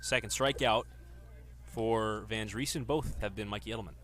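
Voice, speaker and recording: A man's voice: on the low side, normal speed, crowd noise. A man in his twenties working as a sports announcer, delivering reporting and live baseball play-by-play commentary. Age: 20s.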